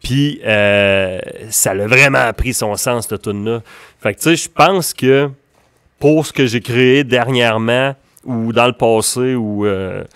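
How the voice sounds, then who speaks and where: Smooth-voiced Franco-Ontarian man. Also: medium pitch, a little slow, a bit bright, no echo, clean studio sound. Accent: Franco-Ontarian French. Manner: rambling, restarts often. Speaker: guest musician. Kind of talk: casual conversation.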